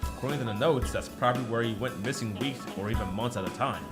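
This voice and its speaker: A woman's voice: very deep voice, quick pace, loud music behind the voice. A woman playing a puzzled, questioning investigative partner in an audio drama.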